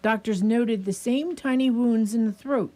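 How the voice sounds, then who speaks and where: A woman's voice: fairly high pitch, measured pace, mellow, lightly acted. Woman as a troubled, skeptical young doctor. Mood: skeptical, grave. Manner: formal, earnest dramatic delivery. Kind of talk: radio play acting.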